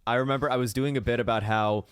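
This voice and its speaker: A male comedian co-hosting a podcast, telling relaxed stories and laughing often. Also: medium pitch, quick pace, clear, resonant tone, dry recording, clean studio sound. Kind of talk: casual podcast chat.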